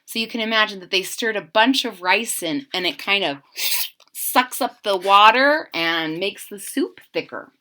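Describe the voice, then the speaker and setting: A woman reading a children's story aloud and pausing to explain it in a gentle, animated way. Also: medium pitch. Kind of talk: children's read-aloud with commentary.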